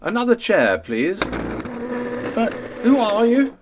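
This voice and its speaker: A man's voice: very high-pitched, wildly swooping pitch, slow, gravelly, background noise, exaggerated voice. A man playing a sardonic, sociable wartime civil servant with a British accent in a radio play. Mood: welcoming, amused. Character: sardonic, sociable male wartime government office worker.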